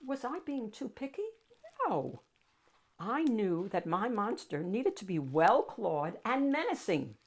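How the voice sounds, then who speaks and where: A woman's voice: medium pitch, big pitch swings, takes it slowly, slightly rough. A woman narrating a children's picture book expressively as its reader and host.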